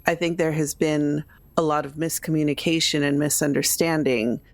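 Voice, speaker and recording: A woman's voice: low voice, steady tone, moderate pace, no echo. A woman playing a tabletop roleplaying game, improvising conversation in character.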